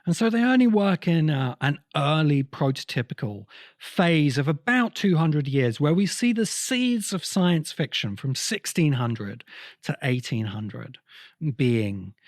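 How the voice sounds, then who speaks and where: A man hosting a podcast, explaining literary history in a deliberate, emphatic way with pauses between phrases. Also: on the high side, lively intonation, no echo, studio quality. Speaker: podcast host.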